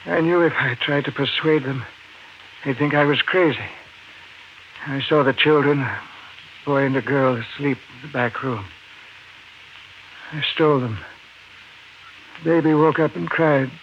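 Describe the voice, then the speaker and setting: An actor playing a wounded professor, weak, halting and gravely urgent, in a 1950s radio thriller. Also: male voice, on the high side. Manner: halting, earnest. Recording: noisy lo-fi 1950s radio recording.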